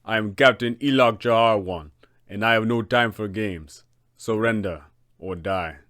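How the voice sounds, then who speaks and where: A man's voice: fairly low pitch, unhurried, a bit bright, dry recording, studio quality, voice pushed down. A man voicing a brutish, imposing pirate captain for a tabletop game, with a commanding, threatening manner. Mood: cold threat.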